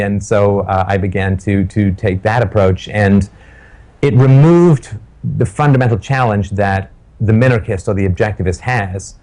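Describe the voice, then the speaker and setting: A middle-aged man with a fairly low voice tells his story as an interview guest. He reaches for vivid, over-the-top metaphors, and his pitch jumps sharply when he stresses a point. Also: dark tone, poor audio. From born in Ireland, raised in England and Canada. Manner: vivid, colorful phrasing with wild metaphors.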